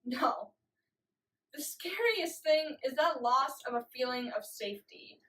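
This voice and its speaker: A young woman with a high-pitched voice recites slam poetry. Her delivery is dramatic and deliberate, with emphatic pauses between phrases. She is recorded at some distance from the microphone. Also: animated, a bit bright. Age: young adult. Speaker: slam poet. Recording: voice distant from the mic.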